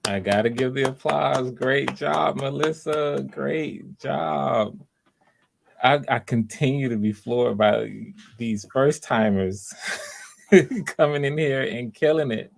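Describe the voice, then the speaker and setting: A man's voice: mid-range voice, gravelly. A man hosting auditions on a live video call, chatting casually and encouragingly, often repeating himself as he goes. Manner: effusive encouragement, repeats phrases, halting. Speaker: audition host, writer-director. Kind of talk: casual live-stream chat.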